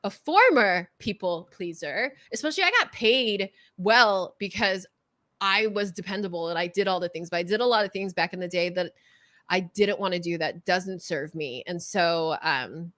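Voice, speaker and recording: A middle-aged woman with a California Valley accent, whose first language is Korean, talking fast and passionately as a coach in an interview, sometimes stumbling over her words. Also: fairly low pitch, fairly bright tone, no echo. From Southern California. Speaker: guest coach. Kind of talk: energetic interview chat.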